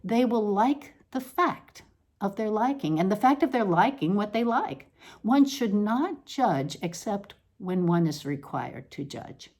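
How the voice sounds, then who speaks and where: A woman reading a scripted literary essay aloud, in a deliberate, measured manner with emphatic phrasing. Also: medium pitch, animated, a little mellow.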